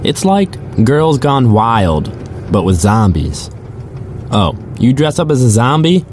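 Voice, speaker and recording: A man's voice: mid-range voice, big pitch swings, slow pace, darkish tone, a little hiss or hum. A man playing a chatty, sardonic rideshare driver, telling his story in the first person for an audio drama. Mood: wry, griping. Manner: casual, sardonic, conversational. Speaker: narrator and lead character.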